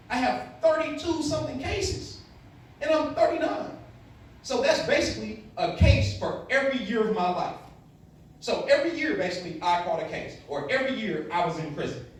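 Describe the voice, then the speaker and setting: A man in his late thirties giving a blunt, candid talk to young people, stressing points with rhetorical questions. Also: sits high. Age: late 30s. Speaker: motivational speaker to youth. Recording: very echoey room, far from mic, faint noise.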